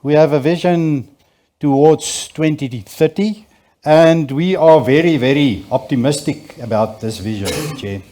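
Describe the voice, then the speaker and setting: A man from South Africa gives the opening remarks as a conference facilitator. He speaks slowly in a warm, dark voice. His delivery is halting and full of filler sounds. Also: fairly high pitch, slight background noise. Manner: hesitant, many filler sounds. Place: conference auditorium.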